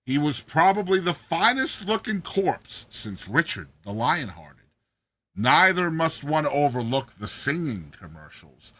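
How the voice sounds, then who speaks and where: A man giving a theatrical, expressive dramatized reading of a satirical short story as its narrator. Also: a little high, unhurried. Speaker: story narrator, show host.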